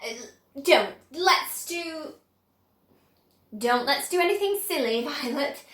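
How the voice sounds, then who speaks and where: A woman's voice: high-pitched, lively intonation, slow pace, fairly bright tone, roomy sound, heavily acted. Woman voicing a fretful, hesitant mother. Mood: cautioning. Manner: hesitant, cautioning. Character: fretful mother scolding her daughter.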